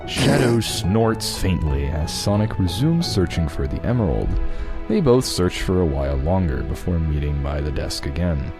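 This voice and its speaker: A man's voice: deep voice, sing-song, moderate pace, music in the background. A man narrating a fan fiction script, reading out scene headings and stage directions.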